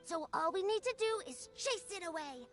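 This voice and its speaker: A woman's voice: extremely high pitch, animated, moderate pace, soft background music. A woman voicing a stubborn, earnest young village child in an anime video game.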